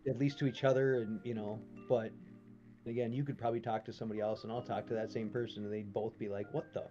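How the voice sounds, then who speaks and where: A man's voice: fairly low pitch, little pitch movement, quick pace, dark tone, lo-fi sound, faint music behind. A man from Minnesota co-hosting a trivia stream, chatting casually, laughing easily and trailing off into asides. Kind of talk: casual chat.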